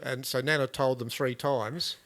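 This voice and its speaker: A man hosting a vlog, chatting casually in a relaxed, rambling way with lots of fillers and restarts. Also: medium pitch, a little slow. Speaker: vlog host. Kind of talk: casual chat.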